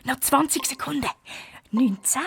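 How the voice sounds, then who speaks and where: A woman's voice: high voice, unhurried, creaky, breathy edge, heavily acted. A woman playing an eager, pleading young girl detective with a Swiss German accent in a children's audio drama. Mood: busy, hopeful. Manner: excitable, insistent.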